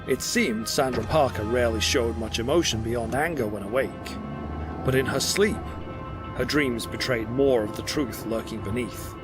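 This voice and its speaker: Man, medium pitch, dramatic scripted storytelling, audio drama narrator, clear music underneath. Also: normal speed.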